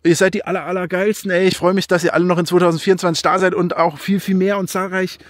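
Excitable young man rambling about his car. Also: sits high, quick pace, no room sound, clean studio sound. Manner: enthusiastic, rambling, thinks aloud and corrects himself. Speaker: car vlogger. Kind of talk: casual car review vlog. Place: outdoors by a car in a snowy field.

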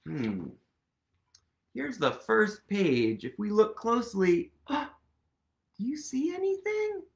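A man's voice: sits high, very animated, slow, creaky. A man in his thirties reading to children with playful, exaggerated wonder and questions to the listeners, recorded in a small room. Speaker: storytime reader. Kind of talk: animated children's picture-book read-aloud. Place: small room at home, indoors.

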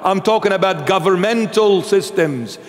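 A middle-aged man from Iraq preaches from a church altar in a high, emphatic voice. He reads scripture and explains it with animated force. The sound hangs in the long reverb of a large sanctuary. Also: moderate pace. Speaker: bishop preacher. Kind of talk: sermon with Bible reading.

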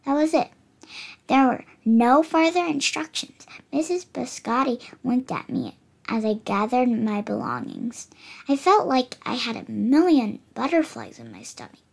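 Young girl, about eight, for picture-book read-aloud; high, slightly creaky voice, careful expressive delivery. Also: measured pace. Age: child, about 8. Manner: careful, expressive reading with small stumbles. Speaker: child reader of picture books.

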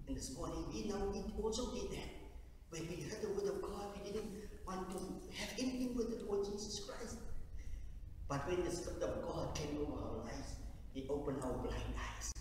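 A man from South Africa preaching at a communion service, with fervent loud peaks that drop to quiet low stretches and frequent halting restarts. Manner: halting, repeats words and restarts. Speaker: lay preacher. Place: large echoey church hall. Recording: echoey hall from far off, faint hiss.

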